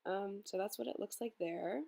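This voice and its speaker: A young woman with a slightly hoarse voice from a cold, chatting her way through a makeup tutorial voice-over with plenty of ums. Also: mid-range voice, normal speed. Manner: chatty, relaxed, many ums. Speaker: beauty vlogger.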